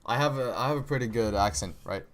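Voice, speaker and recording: A man's voice: mid-range voice, fast. A young man from Kosovo with an American-sounding accent, casually talking viewers through trading charts on a live stream, slangy, with frequent "bro" and "right?".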